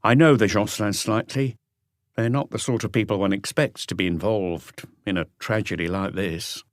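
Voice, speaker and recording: A man's voice: on the low side, normal speed, no echo, studio quality, natural voice. A man voicing a middle-aged, formal detective inspector who speaks carefully and courteously on the telephone in an audiobook. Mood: polite, concerned.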